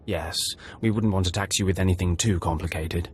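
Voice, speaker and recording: A man's voice: deep voice, fairly even intonation, brisk, a little mellow, a little hiss or hum, close to an everyday voice. A man playing a disdainful undercover Sith operative in a video game, sneering and sarcastic as he briefs. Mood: condescending. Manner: sneering, condescending. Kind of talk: video game cutscene acting.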